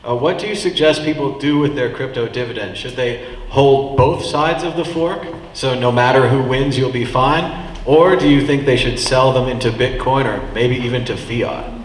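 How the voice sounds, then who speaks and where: A man's voice: medium pitch, normal speed. A man moderating a live panel, putting questions to the speakers with a few hesitant fillers. His voice carries through a large echoey hall with a long reverb. Faint room noise sits behind him. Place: meetup venue hall.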